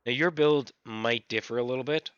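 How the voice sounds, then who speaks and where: A man with a scratchy voice, casually explaining how he plays a game character, with relaxed, repetitive phrasing. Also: mid-range voice, normal speed, smooth, dry recording, dull audio. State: recovering from illness. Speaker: gaming channel host. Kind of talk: casual gameplay tutorial.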